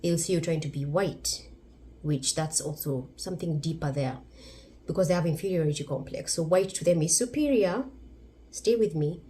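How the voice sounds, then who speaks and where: A woman's voice: sits low, moderate pace, warm tone, slight background noise. A woman from Kenya with a Kenyan English accent, giving blunt, emphatic opinion commentary as a vlogger. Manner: emphatic, blunt, laughs between points.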